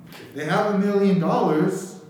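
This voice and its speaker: A man's voice: high voice, normal speed, darkish tone, a little hiss or hum, pitched above the performer's own voice, lightly acted. Man putting on a zany evil doctor, echoey. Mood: scheming, pushy. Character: zany evil doctor selling one-way trips off the world. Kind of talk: improvised comedy sketch. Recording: echoey, distant video-call workshop recording.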